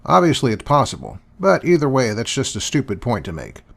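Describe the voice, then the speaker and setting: A man's voice: mid-range voice, very animated, a little fast, creaky, fairly bright tone. A man hosting and answering questions in a dry, sarcastic, dismissive manner. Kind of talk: sarcastic commentary.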